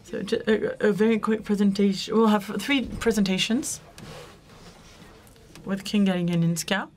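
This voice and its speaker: A woman's voice: medium pitch, steady tone, unhurried, a little mellow, slight background noise. A woman moderating a conference panel, speaking loosely and often correcting herself mid-sentence. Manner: loose, self-correcting, with frequent restarts. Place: conference room.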